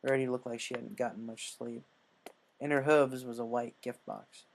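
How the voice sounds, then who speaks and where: Man reading a story, mid-range pitch. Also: fairly even intonation, normal speed, gravelly, a little hiss or hum. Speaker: story reader. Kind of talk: scripted narration of fan fiction.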